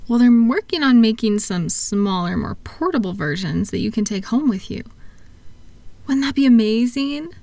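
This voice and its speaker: A woman playing a kind therapist in a comfort audio roleplay, speaking softly and gently, reassuring and encouraging her patient without hurry. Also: fairly high pitch, lively intonation, moderate pace, vocal fry, dry recording. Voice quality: soft-spoken. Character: kind, soothing woman therapist guiding a patient with futuristic tablet.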